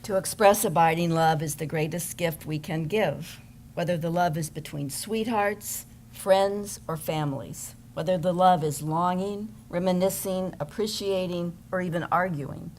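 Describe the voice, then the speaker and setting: A woman's voice: fairly low pitch, measured pace, a little mellow, slight background noise. A woman reading scripted narration as the narrator of a church readers theater program. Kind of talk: scripted narration to a live gathering.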